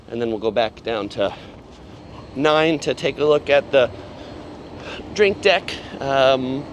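A man in his forties giving a casual walking tour of a cruise ship, talking in a relaxed way with frequent fillers as he lists what he sees. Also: medium pitch, a little hiss or hum. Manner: relaxed, with frequent ums and listing items. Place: aboard a cruise ship, indoor and outdoor decks. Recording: clear music under the voice.

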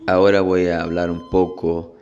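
Salvadoran man, 40s, deep and even-toned, easygoing vlog talk outdoors. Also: normal speed, dull audio, slight background noise. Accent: Salvadoran Spanish. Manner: reflective, conversational, tags sentences with questions. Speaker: vlogger. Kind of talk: casual vlog monologue. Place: outdoors, alone.

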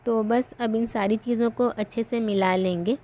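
A woman's voice: on the high side, normal speed. Woman narrating a home recipe. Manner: calm step-by-step instruction. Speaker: cooking channel host.

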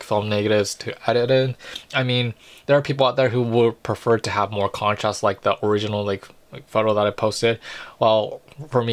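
A young man in a small room talks to camera about film photography, casually, with lots of fillers and restarts. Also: fairly low pitch. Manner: rambling, many "like" and "basically" fillers, self-corrections. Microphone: large mic above the frame.

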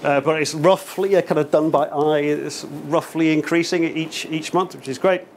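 A man's voice: fairly high pitch, steady tone, moderate pace, a little hiss or hum. A middle-aged man with a chatty, upbeat manner giving a short lightning talk to a meetup audience in a small venue. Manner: chatty, upbeat, many fillers and restarts. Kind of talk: casual conference talk. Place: small event venue indoors, in front of an audience.

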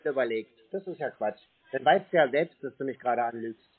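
A man with a husky voice, talking casually and confrontationally, blunt, insistent and sceptical. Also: mid-range voice, expressive, fairly quick, narrow, tinny audio. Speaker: scam baiter confronting a phone scammer. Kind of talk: casual confrontational phone conversation.

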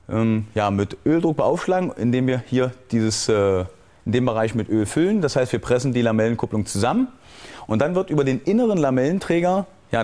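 A man speaks as a technical instructor, walking step by step through an explanation. His pitch sits in the ordinary middle range for a man. He often halts mid-sentence to search for the right term before carrying on. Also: a little mellow.

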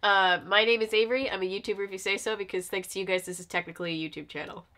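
Young female YouTube host, bright forward voice, casual conversational reaction style. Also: mid-range voice, steady tone, very fast. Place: small furnished room indoors.

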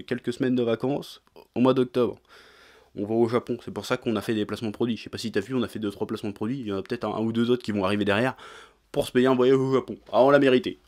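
A man's voice: mid-range voice, darkish tone. Casual young man chatting to camera. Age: young adult. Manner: informal, slangy, frequent hesitations. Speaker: YouTube manga and anime channel host. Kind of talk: casual vlog chat to camera. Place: small indoor room with shelves of figurines.

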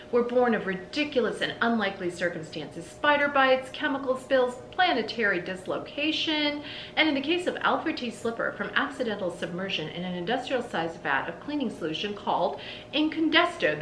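A middle-aged woman reading a children's storybook aloud expressively, like a teacher, with deliberate pauses between phrases. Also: fairly bright tone. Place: indoors in a room with bookshelves. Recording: roomy echo, faint low rumble.

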